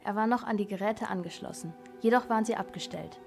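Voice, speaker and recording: A woman's voice: mid-range voice, fast talker, vocal fry, faint music behind. Young female narrator of a crime play. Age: child or teenager.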